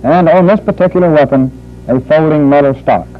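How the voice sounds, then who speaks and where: Man, narrow tinny old film audio, fairly high voice, military instructor, measured formal teaching narration. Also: faint music behind. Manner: formal, measured, deliberate phrasing. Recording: muffled phone-like old training film soundtrack.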